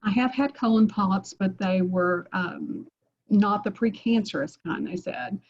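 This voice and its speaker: A woman caller in a Q&A session, speaking quietly and haltingly. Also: mid-range voice, muffled recording, slight background noise. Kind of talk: answering questions in a Q&A.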